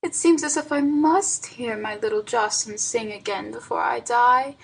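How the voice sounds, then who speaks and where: A woman voicing a frail, wistful old aunt in a story reading, with a husky, plaintive, pleading voice. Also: a little high, normal speed. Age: older.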